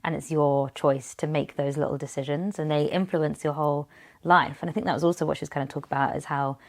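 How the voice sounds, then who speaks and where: A woman's voice: low-pitched, steady tone, quick pace. A woman co-hosting a podcast, talking earnestly in casual chat and retelling a study at length. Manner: earnest, tells stories at length with fillers. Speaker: podcast co-host.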